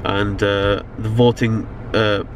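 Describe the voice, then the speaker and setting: A man's voice: fairly low pitch, flat delivery, takes it slowly. Young British man vlogging in a noisy car. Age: young adult. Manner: rambling, slangy, frequent "you know what I mean". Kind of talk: casual chat to camera. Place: inside a car at night. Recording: car ambience, clear background noise. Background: car and outdoor urban noise.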